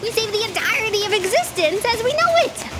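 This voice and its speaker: A woman's voice: very high-pitched, sing-song, fairly quick, noisy background. A young woman playing an earnest, insistent junior underling in a staged audio drama. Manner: theatrical, insistent. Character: earnest, urgent, frank female junior underling. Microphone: stand microphone on a theatre stage.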